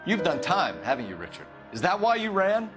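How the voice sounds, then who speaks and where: An actor playing a stern, clipped police detective giving orders in a video game crime drama. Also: male voice, high voice, huge pitch swings, fairly quick, over music. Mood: probing, accusing.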